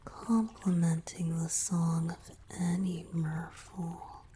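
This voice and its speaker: A woman voicing a playful, romantic young merman boyfriend in a breathy, teasing ASMR whisper with soft hums. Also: fairly low pitch, flat delivery, slow, slight background noise. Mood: teasing, bashful.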